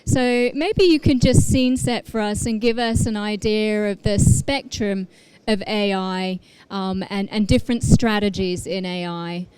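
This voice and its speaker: A woman's voice: medium pitch, fairly even intonation, measured pace, darkish tone, smooth, no echo, rough recording. A woman from Australia with a faintly British-tinged Australian accent, moderating a conference panel, polite and full of ums and restarts. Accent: Australian with a slight British tinge.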